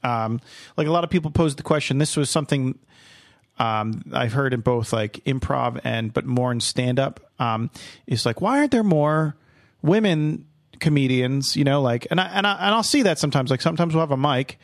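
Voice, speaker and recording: Halting male podcast host, dry sound. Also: mid-range voice, expressive, no room sound. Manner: halting, lots of "like" and "um" fillers. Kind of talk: casual podcast chat.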